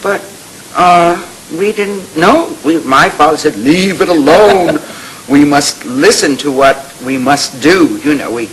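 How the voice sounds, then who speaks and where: An elderly woman in her eighties recounting childhood memories in a halting, repetitive way. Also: low voice, expressive, poor audio. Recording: faintly hissy interview recording.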